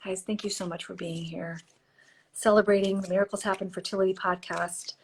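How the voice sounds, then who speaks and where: A woman hosting a live stream, chatting and giving oracle card readings, her voice a little hoarse. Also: mid-range voice, steady tone, a little fast, a little hiss or hum, poor audio. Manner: warm, chatty, reads card texts softly. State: slightly hoarse, losing her voice. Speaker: podcast host and intuitive reader. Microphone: phone or computer mic for a live stream.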